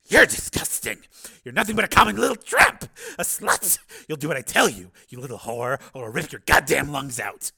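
A man's voice: high voice, wildly swooping pitch, a little slow, gravelly, sharp tone, husky, voice pushed up, full character voice. A man voicing a vicious, derisive pimp in a dramatic story reading, with a snarling, hissing delivery. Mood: snarling rage. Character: vicious, sneering male pimp Rico, pretty and flamboyant.

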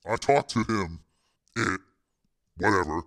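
Man doing deep, cool street tough. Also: slow pace, fairly bright tone, full character voice. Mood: matter-of-fact. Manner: slangy, laconic, cool.

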